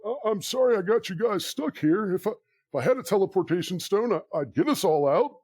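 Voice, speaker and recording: A man's voice: high voice, animated, fairly quick, a little mellow, slightly rough, no echo. A man playing a lost, half-starved gnome in a comedic audio drama, stammering and hesitant. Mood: pleading.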